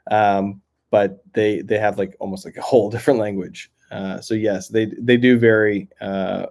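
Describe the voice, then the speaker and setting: Lowish Canadian man chatting on a live stream. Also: darkish tone. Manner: rambling, filler-heavy, many restarts. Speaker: livestream host. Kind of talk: casual livestream chat reading comments. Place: outdoors near a lake.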